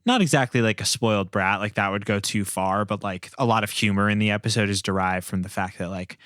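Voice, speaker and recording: A man's voice: fairly low pitch, brisk, fairly bright tone, dry recording, studio quality. A man hosting a casual podcast discussion in a hesitant, conversational manner. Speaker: podcast host.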